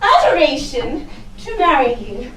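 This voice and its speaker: An actress playing a desperate young heroine in a comic costume drama, falsely sweet, hesitant and melodramatic. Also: female voice, sits high, sing-song, measured pace, pushed and bright, recorded from a distance, exaggerated voice. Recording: echoey room, off the mic.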